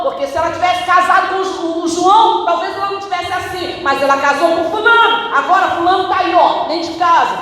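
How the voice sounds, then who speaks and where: Woman, pastor, emphatic preaching, distant mic, heavy church echo. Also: slight background noise. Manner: emphatic preaching with wide swings in pitch and tempo. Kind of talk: sermon. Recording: echoey church hall, recorded from a distance.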